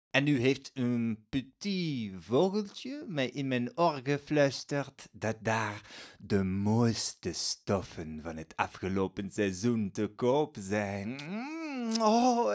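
An actor playing a flamboyant, vain, frivolous travelling gentleman in a radio drama, gushing theatrically. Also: medium pitch. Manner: theatrical, effusive, gushing with exclamations.

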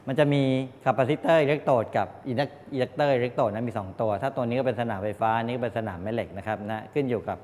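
A man's voice: mid-range voice, darkish tone. Middle-aged male lecturer in an echoing room. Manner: halting, explanatory, with frequent polite tag particles. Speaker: college lecturer in medical electronics. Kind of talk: classroom lecture. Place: medium-sized indoor classroom with wooden desks. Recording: echoey classroom, distant pickup, background noise.

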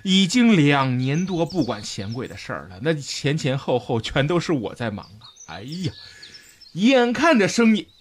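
An actor playing a whiny, boastful second-in-command shopkeeper in a comic radio play, with melodramatic, drawn-out wailing. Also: male voice, on the high side, big pitch swings, slight background noise. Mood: aggrieved, boastful. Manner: melodramatic, sing-song wailing with drawn-out words. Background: music behind the voice.

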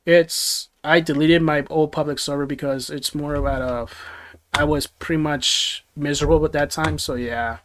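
A man's voice: on the high side, a bit bright. A young man hosting a casual livestream from a small bedroom, answering viewer comments with lots of restarts and chuckles into a headset mic. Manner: rambling, many restarts and chuckles. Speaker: livestream host. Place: small dim bedroom studio. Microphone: gaming headset.

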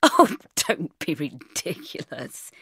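Lady doing a dry reserved guy, low, understated, a bit disdainful, audiobook. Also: very animated, measured pace, fairly bright tone, husky, no room sound, full character voice. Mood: scornful.

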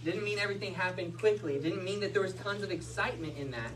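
Male pastor, 30s, lively storyteller, recorded from the back of a small hall. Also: high-pitched, quick pace. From United States. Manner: animated storytelling with hand gestures. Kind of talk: sermon. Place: small church hall with Christmas tree. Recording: distant mic, faint room noise.

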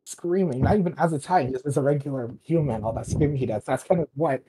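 A person with a husky voice chatting casually and emphatically as a drama director guesting on a podcast, gushing and rambling along. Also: mid-range voice, fast talker, mellow, slight background noise, poor audio. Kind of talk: casual enthusiastic chat.

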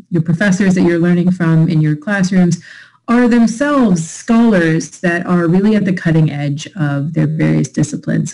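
Woman, middle-aged, low pitch, warm mellow tone, conversational webinar presenter, webcam mic at home. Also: low-quality recording. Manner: conversational, explanatory, with fillers. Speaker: college admissions presenter. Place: home room. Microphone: laptop webcam on a video call.

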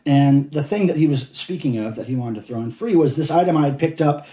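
A chatty man rambles with enthusiasm about his latest collecting finds. His voice is mellow and relaxed, at a middle pitch. The room around him rings with noticeable echo. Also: brisk. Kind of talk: casual show-and-tell vlog. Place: indoors at a table of cassettes.

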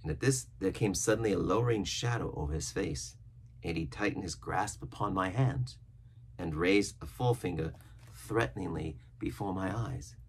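A man's voice: deep voice, normal speed, slight background noise. A middle-aged man reading an adventure novel aloud with expression. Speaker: storybook reader.

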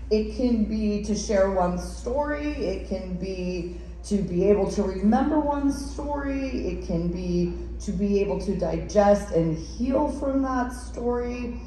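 A woman giving a conference presentation, partly from a script, projecting her voice as a workshop presenter in a large room. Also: mid-range voice. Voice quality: loud, projecting voice. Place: large indoor conference ballroom. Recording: very echoey room, some noise, distant.

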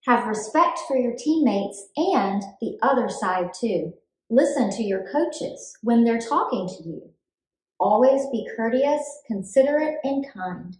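A woman reading a children's book aloud in an expressive, deliberate storytime style for young children. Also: a little high, echoey room, poor audio. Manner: clear, deliberate, animated delivery for young children.

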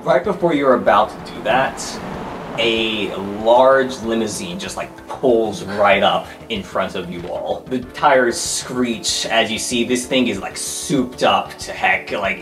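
A man narrating an improvised tabletop game as game master. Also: on the low side. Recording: echoey room, music underneath.

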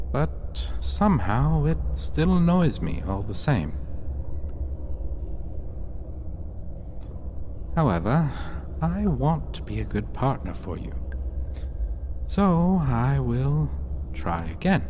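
Casting: male actor as menacing, vain vampire for gothic ASMR roleplay; very slow, condescending drawl, lively inflection. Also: medium pitch, slight background noise. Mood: coaxing. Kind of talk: ASMR dark fantasy roleplay.

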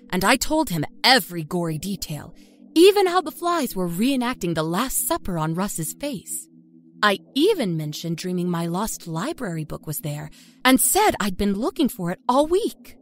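An actress playing a wry, unrepentant small-town woman, telling her story in an expressive, conversational, folksy first-person narration. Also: medium pitch. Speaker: audio fiction narrator.

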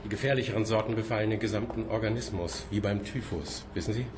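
An actor playing a courteous, evasive senior institute scientist in a radio drama, formal and hesitant, repeating himself. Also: male voice, fairly low pitch, fairly even intonation, fast talker, noisy background, close to an everyday voice. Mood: grave, courteous. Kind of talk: naturalistic German radio play acting.

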